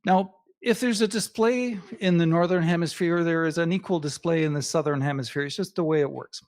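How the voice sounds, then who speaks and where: A man whose first language is not English, giving an astronomy slide presentation to a club audience with measured, emphatic pacing and pauses. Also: fairly high pitch, darkish tone. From Canada.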